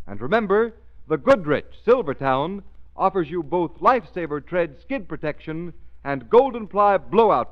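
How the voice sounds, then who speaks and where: A man announcing and narrating in a formal, dramatic, scripted 1930s radio style. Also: high voice. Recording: dull old radio recording, faint music.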